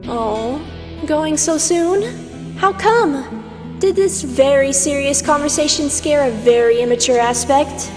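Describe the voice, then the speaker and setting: A woman's voice: high-pitched, slow. A woman playing a condescending, teasing mentor, an immortal aspect of Twilight in a comic dub, lecturing in a theatrical, sardonic way. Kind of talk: scripted fan comic dub acting. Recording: long reverb over music.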